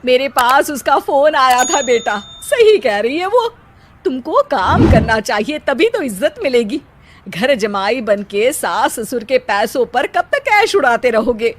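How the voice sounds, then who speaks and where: A woman voicing a concerned middle-aged mother in a cartoon story, chiding gently in an earnest, coaxing way. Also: sits high, big pitch swings, unhurried, a little hiss or hum.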